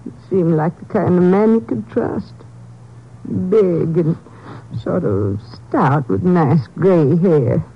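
An actress playing an ashamed, destitute old widow in a radio crime drama, halting and despairing in plain folksy speech. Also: female voice, fairly low pitch, animated, slightly rough, full character voice. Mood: subdued recounting. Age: older. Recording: muffled vintage radio drama recording.

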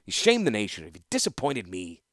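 A man's voice: on the low side, a little fast, dry recording, clean studio sound. Gerard, a man doing a stern veteran weapons master. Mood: commanding. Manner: calm, commanding, dryly threatening.

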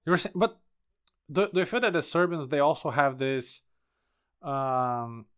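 Man, slightly high voice, chatting. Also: lively intonation, measured pace, a bit bright, clean tone. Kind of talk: casual conversation.

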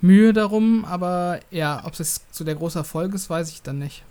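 A man's voice: sits high, moderate pace, dark tone, clean tone. A young adult man co-hosting a casual podcast, talking hesitantly with many ums and restarts. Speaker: podcast co-host.

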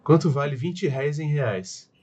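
Husky-voiced brazilian man chatting. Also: on the high side, a little slow, dull audio. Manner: animated, quotes film lines in put-on voices. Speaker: podcast co-host. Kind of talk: casual film review chat.

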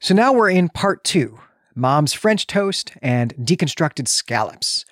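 A man's voice: fairly high pitch, very animated, slow, fairly bright tone, dry recording. Earnest man podcasting, close dry sound. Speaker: podcast co-host. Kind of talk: conversational film discussion and plot synopsis.

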